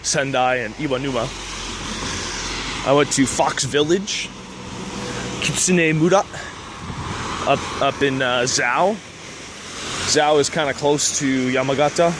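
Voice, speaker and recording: A man's voice: medium pitch, slow, long pauses. A young man from Canada talking casually while walking outdoors, rambling and laughing often. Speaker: vlogger. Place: city street outdoors. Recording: outdoor street noise. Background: street traffic.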